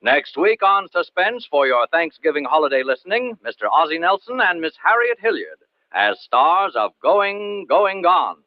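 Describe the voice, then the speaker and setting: A man's voice: fairly high pitch, big pitch swings, normal speed, no room sound, dull audio, soft background music. An American man announcing a radio show in a 1940s and 50s style, on an old broadcast.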